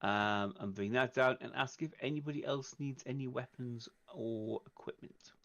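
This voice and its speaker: A man's voice: mid-range voice, moderate pace, fairly bright tone, highs cut off. A man narrating casually and talking at the table in a tabletop roleplaying game stream.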